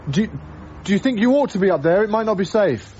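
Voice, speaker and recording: An actor playing a friendly young lodger in a radio drama, gentle, hesitant and chatty. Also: male voice, sits high, expressive, fast talker, highs cut off, slight background noise. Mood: friendly, curious. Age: young adult. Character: mild, lonely, friendly young male lodger. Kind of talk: naturalistic radio drama acting and first-person narration.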